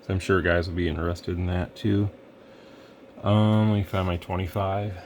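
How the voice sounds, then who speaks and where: A man with a deep, level voice talks through a radio demo in an easygoing, rambling way, pausing with fillers as he works. He sounds low and steady, with faint noise behind him. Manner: relaxed, rambling, thinks aloud with fillers. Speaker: radio equipment reviewer. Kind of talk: casual hands-on demo narration.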